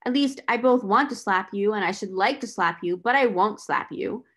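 A woman voicing a motherly, self-controlled schoolgirl in a storybook, firm and steady. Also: mid-range voice, moderate pace, lightly acted. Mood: restrained anger.

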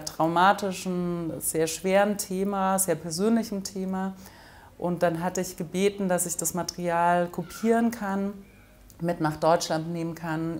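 A middle-aged woman from Germany speaks in a slightly low voice. She answers interview questions as a filmmaker, thoughtful and hesitant at times. The sound is from a camera close by, indoors. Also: darkish tone. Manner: thoughtful, with frequent hesitation fillers. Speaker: film director. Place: indoors in front of an orange wall.

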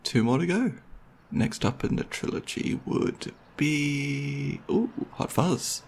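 A young man playing a gentle, nerdy, considerate boyfriend in an intimate ASMR roleplay, talking in a hesitant, soft-spoken, conversational way. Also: on the high side, lively intonation, takes it slowly, gravelly, slight background noise, exaggerated voice. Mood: playful, easygoing.

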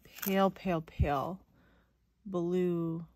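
Chatty low-voiced woman, slightly stuffy. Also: fairly even intonation, slow pace, a little mellow. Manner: chatty, informal, thinking aloud. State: slightly stuffy, sniffly nose. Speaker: craft video host. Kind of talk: casual unboxing chat. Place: indoors at a craft table.

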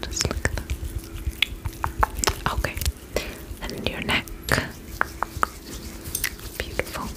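A woman whispering gently and reassuringly in a breathy voice, as a spa attendant in an ASMR roleplay. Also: very slow, long pauses, poor audio. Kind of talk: ASMR roleplay, personal-attention service talk.

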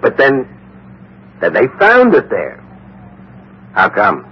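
An actor playing a firm, skeptical schemer, blunt and colloquial, in 1950s radio drama style. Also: male voice, mid-range voice, huge pitch swings, slow, breathy edge, heavily acted. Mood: defensive, puzzled. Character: firm, skeptical male schemer calming a hysterical woman. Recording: dull vintage 1950s radio recording.

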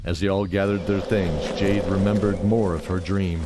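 A man narrating a scripted fantasy audio drama in a measured, descriptive way. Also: sits low, fairly even intonation, heavy background noise. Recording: music under the voice.

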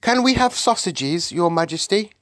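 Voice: mid-range voice, lively intonation, moderate pace, fairly bright tone, dry recording, pitched above the performer's own voice, close to an everyday voice. A storybook reader voicing a polite, eager little girl. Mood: eager, pleading.

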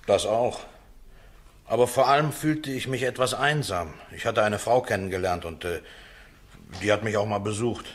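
A man performs an accused man in a radio play. His voice sits on the low side, and he talks in a colloquial, halting way, defending himself like an ordinary working fellow. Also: close to an everyday voice. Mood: frank, halting. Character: defensive accused man on trial, down-to-earth ex-salesman.

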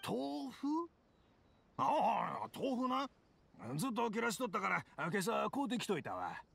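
A man voicing an elderly, gently worried craftsman father with a Kansai accent in an anime game. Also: high-pitched, sing-song, measured pace, vocal fry, breathy edge, exaggerated voice. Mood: mild, matter-of-fact. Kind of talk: Japanese anime game voice acting. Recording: music behind the voice.

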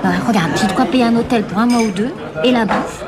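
A woman's voice: fairly high pitch, fast, natural voice. An actress playing a weary young streetwalker devoted to her boyfriend in a radio play, speaking plain street slang. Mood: tender, pleading. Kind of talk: naturalistic French radio drama acting. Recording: loud crowd chatter behind.

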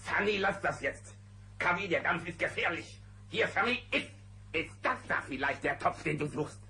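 An actor playing a grumpy, self-important bear who likes order and scolds, in a German children's audio drama. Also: male voice, high-pitched, expressive, normal speed, pushed and bright, breathy edge, full character voice. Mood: grumpy, bossy. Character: grumpy, bossy, orderly male cartoon bear. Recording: noisy old cassette transfer.

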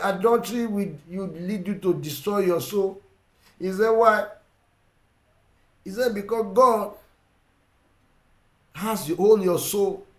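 A middle-aged man with a Ugandan English accent preaching a sermon, emphatic and repetitive, swinging between loud and quiet. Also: sits high, roomy sound. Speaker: pastor. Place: indoor room with plain wall. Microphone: seated facing camera.

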